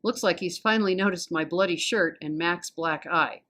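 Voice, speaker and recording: A woman with an American accent reading a children's novel aloud as an expressive storyteller. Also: fairly low pitch, moderate pace. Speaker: volunteer storybook reader.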